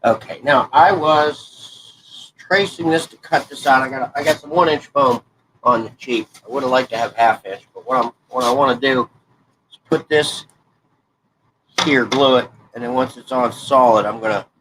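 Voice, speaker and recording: A man's voice: mid-range voice, fairly even intonation, moderate pace. Brief: stuffed-up man in his 40s, folksy rambler, plain mid voice, faint noise behind. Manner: folksy, rambling, self-correcting. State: congested, stuffed-up nose. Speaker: hobby crafting vlogger. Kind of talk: casual rambling chat while crafting.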